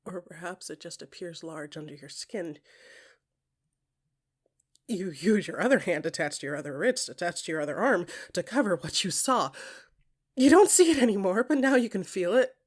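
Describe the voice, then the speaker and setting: A woman narrating scripted second-person fiction in an intimate, dramatic way, with a breathy voice that often drops into whispers and deliberate short phrases. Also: lowish voice. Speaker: podcast creator and narrator.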